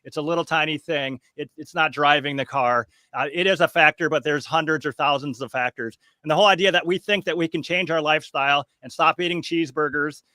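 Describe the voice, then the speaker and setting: A man's voice: on the high side, fairly quick, hardly any pauses, pushed and bright, no room sound. A man talking as a guest on a podcast panel, emphatic and scoffing, hammering home his points. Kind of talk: casual panel chat.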